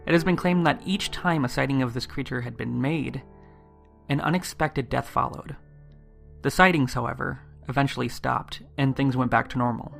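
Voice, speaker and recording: A man hosting a podcast, reading scripted material in a measured, suspenseful storytelling manner. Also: mid-range voice, vocal fry, a bit bright, faint music behind. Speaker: podcast host. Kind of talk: scripted eerie narration.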